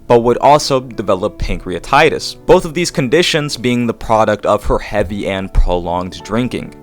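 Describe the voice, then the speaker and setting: Young adult male video essayist, fairly deep voice, scripted read over a guitar music bed. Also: fairly bright tone. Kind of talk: scripted narration.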